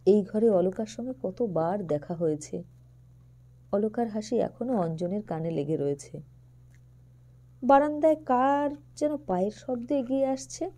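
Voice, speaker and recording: Storyteller: a woman with a mellow, expressive voice who narrates an audio story at an even, middle pitch.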